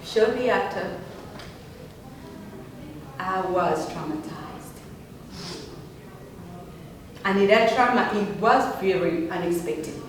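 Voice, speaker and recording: A woman's voice: mid-range voice, a little hiss or hum. A young woman from Uganda, telling her personal story as a speaker with earnest, emphatic delivery. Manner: earnest, emphatic, rising passionately on key words. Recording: echoey hall from far off.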